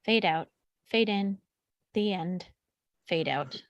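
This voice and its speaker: A woman with a mid-pitched voice narrates stage directions from a script. The sound is close and dry, with no room around it. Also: slow, no echo.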